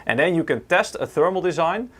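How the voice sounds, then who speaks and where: A man's voice: on the high side, lively intonation, a little fast, a bit bright. A man co-hosting a live stream, explaining at length in a casual, hedging way with many fillers. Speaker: co-host.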